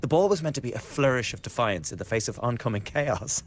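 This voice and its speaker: An actor playing a glib, scheming young revolutionary in a radio drama, chatty and insinuating with a blackly flippant manner. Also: male voice, mid-range voice, animated, quick pace, a little hiss or hum, lightly acted. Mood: mocking, animated. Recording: studio radio drama with background ambience.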